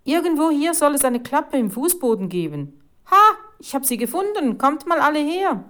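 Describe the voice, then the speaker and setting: Woman acting an eager, high-pitched boy detective. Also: very animated, moderate pace, a little mellow.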